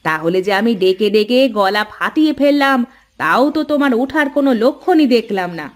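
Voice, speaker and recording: Actress as young cartoon village wife, high voice, wheedling, sulky, nagging. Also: female voice, animated, brisk, natural voice. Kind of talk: cartoon voice acting in Bengali family story.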